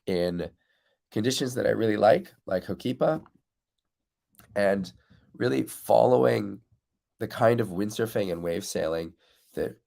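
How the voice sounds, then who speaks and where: A man's voice: deep voice, gravelly, mellow. A man hosting a live windsurf coaching stream, chatting casually and answering viewers' comments while still congested from losing his voice. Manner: reads out viewer comments and answers them directly.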